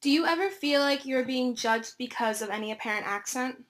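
Higher-voiced woman asking interview questions. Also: a little fast, a bit bright. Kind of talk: reading prepared interview questions.

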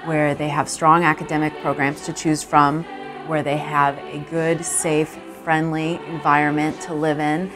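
Woman, low register, university staffer in a promo interview, music underneath. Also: flat delivery, measured pace. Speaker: university admissions staff member. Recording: music behind the voice.

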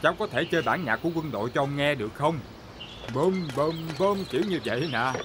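A man's voice: on the high side, lively intonation, fairly quick, background noise, lightly acted. A man playing a proud old military grandpa train in a dubbed children's cartoon, speaking emphatically. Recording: loud music under the voice.

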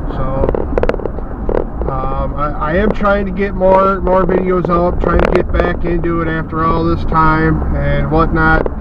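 Guy in his 30s rambling to a dash cam while driving, kinda high voice, dull lo-fi sound with loud road noise. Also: fairly even intonation, unhurried. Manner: rambling, frequent ums and uhs, mild swearing. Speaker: outdoor explorer vlogger. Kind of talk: casual vlog chat to camera. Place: outdoors by a river cliff, then inside a moving car. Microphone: action camera, handheld outdoors, dash-mounted in car. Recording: lo-fi action camera audio with loud road and outdoor noise.

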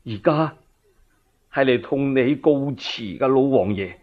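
A man with a Cantonese accent playing a grave, respectful palace steward in a radio story, hushed, halting and solemn. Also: mid-range voice, voice pushed down, close to an everyday voice. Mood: solemn, sorrowful. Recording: dull lo-fi radio recording.

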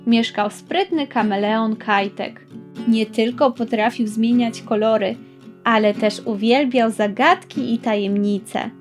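A woman with a fairly high voice narrates a children's audiobook. She tells the tale in a measured, unhurried way over plucked guitar music. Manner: measured storytelling.

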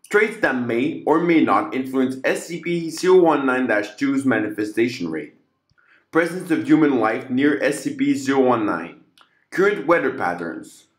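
Man reading a formal report, echoey room. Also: mid-range voice. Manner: formal, measured, clinical reading. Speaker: narrator reading a document. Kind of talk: scripted document reading.

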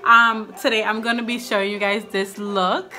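A woman's voice: medium pitch, level tone, normal speed, sharp tone. A woman in her twenties from Trinidad chatting casually to the camera as she does her makeup. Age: 20s. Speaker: beauty vlogger. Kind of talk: casual chat to camera while doing makeup. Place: small indoor room with curtains and couch. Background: music playing.

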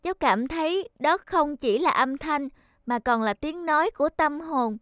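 A woman voicing an earnest, polite, determined young boy in an animated cartoon. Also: high voice, normal speed, no echo, studio quality. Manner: polite, earnest, heartfelt delivery.

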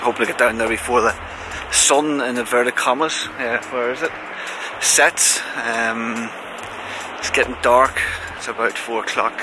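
A man vlogging a road trip in a relaxed, musing way, with soft chuckles, talking outdoors on a coast. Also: medium pitch, a little slow, noisy background. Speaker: travel vlogger. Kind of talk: casual vlog narration. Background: loud music.

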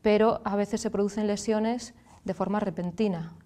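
A woman in her thirties with a Galician Spanish accent, a speech therapist explaining clearly and patiently as a guest in a TV studio. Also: medium pitch, steady tone, moderate pace, mellow. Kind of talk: explanatory interview answers.

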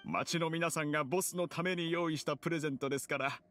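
A man's voice: a little high, fairly even intonation, fairly bright tone, natural voice. A man voicing a devoted, courteous retainer in a Japanese anime game, speaking politely and formally, with music behind him. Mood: reassuring. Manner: polite, formal, measured phrasing. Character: courteous, devoted gentleman retainer to his boss.

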